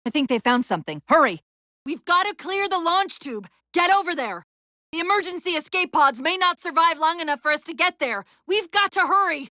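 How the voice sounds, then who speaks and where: An actress playing a female military AI companion in a video game, urgent and witty but fraying under strain, with crisp tactical delivery and dry sarcasm. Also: very high voice, animated, a little fast, sharp tone, no room sound, exaggerated voice. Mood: urgent, commanding. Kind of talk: dramatic game voice acting.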